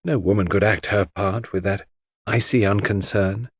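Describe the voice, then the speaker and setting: A man's voice: on the low side, fairly even intonation, moderate pace, breathy edge, no room sound. A man giving a dramatic scripted narration of a classic mystery audiobook.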